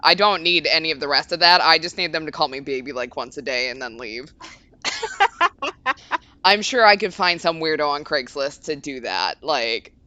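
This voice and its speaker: Lively, bright-voiced wry woman. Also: low voice, moderate pace. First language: Japanese. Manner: dry, wry humor with frequent laughs. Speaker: co-host streamer. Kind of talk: casual game-stream chat.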